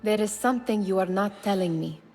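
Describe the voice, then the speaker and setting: A woman's voice: mid-range voice, fairly quick, a little mellow, soft background music, close to an everyday voice. An actress playing an earnest, challenging young woman in a video game, probing and intense. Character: insistent, challenging young woman, old friend of an assassin. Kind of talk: video game cutscene acting.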